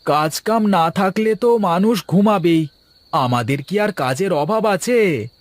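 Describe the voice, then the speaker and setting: A man's voice: sits high, lively intonation, a little slow. A man voicing a cautious, respectful younger brother in a Bengali village cartoon. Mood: familiar.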